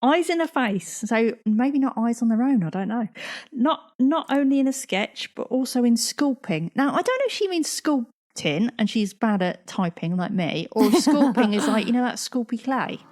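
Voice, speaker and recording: A woman's voice: a little high, very animated, moderate pace, darkish tone. A woman in her forties, co-hosting a podcast in casual chat, chatty and laughing often. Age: 40s. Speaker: podcast co-host.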